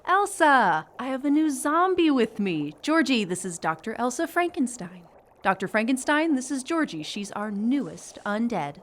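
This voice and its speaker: A woman plays a breezy, bumbling witch showing a newcomer around in a radio play. Her voice is fairly high and very animated, with a chatty, theatrical delivery. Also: moderate pace. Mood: reassuring. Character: breezy, excitable, inept female witch guide. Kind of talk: audio drama acting.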